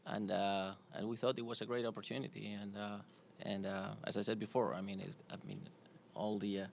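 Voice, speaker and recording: A man from Spain speaks with a Spanish accent at a press conference, his low, gravelly voice held to a flat, narrow range. He stops and restarts often and fills his pauses. A faint hum of room noise sits behind him. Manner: halting, many fillers and restarts. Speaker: sponsor company executive.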